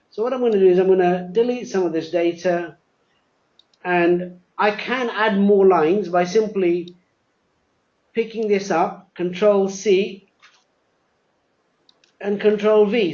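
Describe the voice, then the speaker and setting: A man presenting a live software demo, explaining step by step, often repeating words and spelling things out. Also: high voice, a little slow, long pauses. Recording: dull, lo-fi webinar audio.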